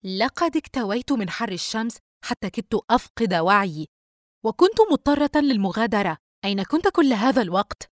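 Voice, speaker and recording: Casting: female reader as exasperated young working woman, raised pitch, wounded and indignant, audiobook drama. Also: animated, fast, darkish tone, no echo, clean studio sound, lightly acted. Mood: angry. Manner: indignant, incredulous, pleading.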